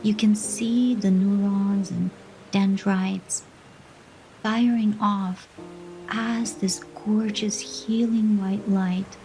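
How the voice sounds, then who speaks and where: A woman guides a meditation at a slow pace, with a mellow, relaxed tone and a middle pitch. She speaks gently in short, soothing phrases with pauses between them. Soft music plays behind her. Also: poor audio. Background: background music.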